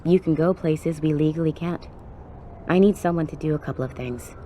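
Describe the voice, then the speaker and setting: Game cutscene policewoman, played by an actress: deep female voice, streetwise and blunt, faint noise behind. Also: fairly quick, warm tone, natural voice. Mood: blunt, conspiratorial. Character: jaded, foul-mouthed undercover policewoman, old colleague. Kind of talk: video game cutscene acting.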